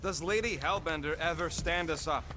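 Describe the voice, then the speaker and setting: A man's voice: on the high side, moderate pace, background noise, lightly acted. A man voicing a small, irritable raccoon tinkerer in a video game, with a snappy, sarcastic manner. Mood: brisk.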